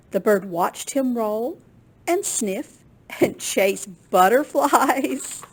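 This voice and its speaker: Animated, slow-paced woman storyteller. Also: on the high side. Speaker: storyteller reading children's books. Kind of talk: expressive read-aloud storytelling for children.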